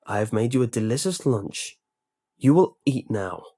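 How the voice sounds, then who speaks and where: A man's voice: mid-range voice, lively intonation, normal speed, mellow, close to an everyday voice. A man voicing a bitter, menacing middle-aged lunch lady with a heavy accent, who barks short orders in broken speech. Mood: commanding. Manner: resentful, threatening, barking short orders.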